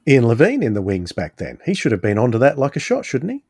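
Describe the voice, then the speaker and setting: Man, podcast host, mid-range pitch, very dry recording, conversational, measured phrasing. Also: huge pitch swings, a little mellow, husky, no echo. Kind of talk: conversational hosting of a discussion.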